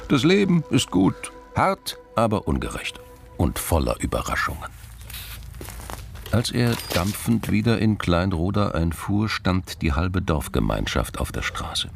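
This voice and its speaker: Deep, gravelly male crime narrator. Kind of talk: scripted literary crime narration.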